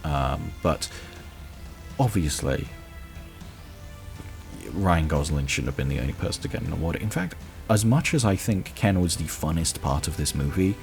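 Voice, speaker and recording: A man hosting a show, talking casually and haltingly through a film countdown with blunt, emphatic opinions. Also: low voice, creaky. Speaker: show host.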